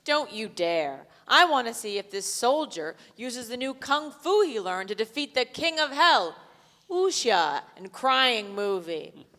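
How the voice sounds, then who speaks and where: A woman's voice: fairly high pitch, sing-song, a little slow, a bit bright, full character voice. Woman acting a crude flirty bar regular lady, blunt n casual, stage play, big echoey hall. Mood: chatty. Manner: foul-mouthed, casual and blunt. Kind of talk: live staged play reading. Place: theatre stage before a live audience. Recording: reverberant theatre hall, live stage.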